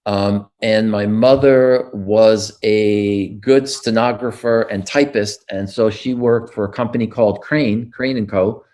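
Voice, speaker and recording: Older New man, low voice. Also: steady tone, a little slow, few pauses, a little mellow. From United States. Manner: reflective storytelling with frequent fillers and restarts. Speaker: interviewee. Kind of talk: oral history interview.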